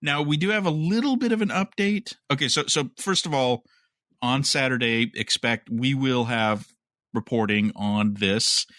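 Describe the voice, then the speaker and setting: A man's voice: medium pitch, moderate pace, a bit bright, dry recording, clean studio sound. A man co-hosting a podcast, casually discussing news and speculating in a conversational way. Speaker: podcast host.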